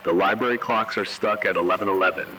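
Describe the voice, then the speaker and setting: A man voicing a grave emergency announcer in an analog horror video, giving measured, solemn warnings. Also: deep voice, noisy background, lightly acted. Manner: measured, ominous, short solemn sentences. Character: grave male emergency broadcast announcer issuing eerie warnings. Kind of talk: analog horror scripted narration.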